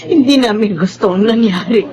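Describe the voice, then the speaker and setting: A woman playing a patient, forgiving middle-aged mother in a radio drama, sorrowful and gently pleading. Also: fairly high pitch, moderate pace, dull audio, background noise, natural voice. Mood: pleading, grieving.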